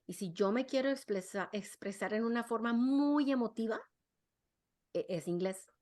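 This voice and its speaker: A woman's voice: on the high side, normal speed, a little mellow. A middle-aged woman chats easily as a podcast guest. She restarts her sentences often, repeats words while she searches for them and drops in English. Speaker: guest, clinical psychologist and parenting expert. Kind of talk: casual podcast conversation.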